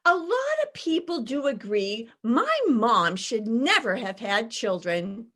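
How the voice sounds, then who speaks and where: A woman playing an unhinged woman in a comic stage monologue, halting, giggly and theatrical. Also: a little high, very animated, a bit bright, exaggerated voice. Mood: manic, defensive. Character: unhinged woman insisting she is sane.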